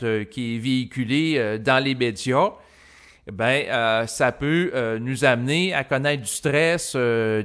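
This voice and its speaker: Halting Québécois man, reflective monologue. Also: mid-range voice, fairly bright tone. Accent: Québécois French. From Quebec, Canada. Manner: halting, roundabout, with frequent hesitations and long lead-ins. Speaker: host of spiritual self-help recordings. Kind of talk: unscripted reflective monologue to listeners.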